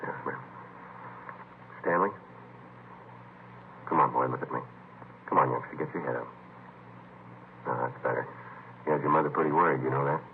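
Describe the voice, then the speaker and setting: A man playing a calm, methodical police sergeant in a vintage radio crime drama, matter-of-fact and clipped. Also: extremely low pitch, normal speed, vocal fry, pauses often. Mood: patient, probing. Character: calm, methodical adult male police sergeant, narrating investigator. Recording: tinny, noisy vintage radio recording.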